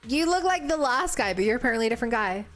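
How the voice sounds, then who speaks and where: A woman's voice: a little high, lively intonation, racing, faint music behind. A woman with a New Zealand accent chatting casually as she streams a game. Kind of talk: casual livestream chat over a game.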